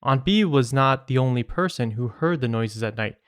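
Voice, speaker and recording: Male podcast co-host, mid-pitched, dry close sound, storytelling read-aloud mixed with joking banter. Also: lively intonation, moderate pace, smooth, no room sound. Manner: joking asides. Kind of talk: reading listener email aloud with casual banter.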